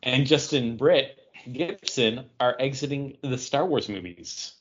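A man's voice: medium pitch, measured pace, highs cut off. An American man co-hosting a podcast, talking casually in an off-the-cuff chat and review style. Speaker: podcast co-host.

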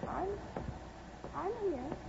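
An actress playing a devoted, slightly wistful young newlywed wife in a 1950s radio drama. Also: female voice, extremely high pitch, animated, very slow, vocal fry, noisy background, full character voice. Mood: hurt. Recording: dull 1950s radio recording with music.

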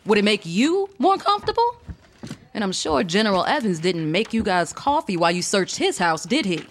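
Indignant, stressed wife in a fiction podcast, acted by a woman: defiant, sarcastic, pitched up. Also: sing-song, moderate pace, a little hiss or hum. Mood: angry, indignant. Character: indignant, stressed married woman writer under investigation. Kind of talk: dramatized audio fiction.

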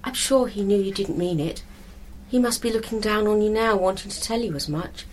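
A woman's voice: mid-range voice, a little fast, a little hiss or hum, pitched above the performer's own voice, lightly acted. Woman acting an eager, kindly woman gardener. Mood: sympathetic, admiring. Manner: eager, polite, reassuring. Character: curious, kindly, excited woman allotment gardener.

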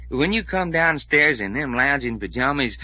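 A cocky, flirty Texan adventurer for 1940s radio. He drawls in a very forceful, husky voice with folksy mischief, on a dry and dull vintage recording. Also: mid-range voice, expressive, normal speed, no echo. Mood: flirtatious. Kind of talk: 1940s radio drama acting.

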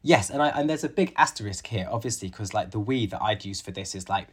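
A man's voice: lowish voice, lively intonation, fast talker, husky. A man in his late thirties, a biologist being interviewed, talking thoughtfully with many restarts and fillers. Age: 30s. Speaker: guest, biologist and author. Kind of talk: conversational interview.

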